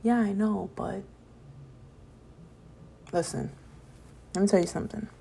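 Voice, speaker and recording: Young woman, solo podcast host, gravelly and a bit hoarse, mellow tone, rambling and preachy about positivity. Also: medium pitch, steady tone, measured pace, a little hiss or hum. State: voice getting raspy from talking late. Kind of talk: late-night solo podcast monologue.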